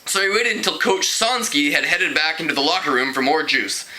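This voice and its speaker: A man narrates a storybook aloud in an expressive amateur style. His voice is fairly high and very bright, with a forceful edge and a mock-dramatic delivery. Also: fairly quick, rough recording. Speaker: amateur book reader.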